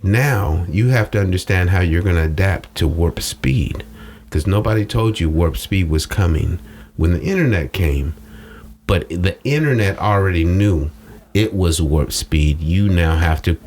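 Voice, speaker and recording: A man's voice: low voice, animated, unhurried, a little mellow. A man talking casually as a strategist guest in an interview, explaining in colloquial phrases and coaching with rhetorical questions. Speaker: social media strategist guest. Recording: noticeable background noise.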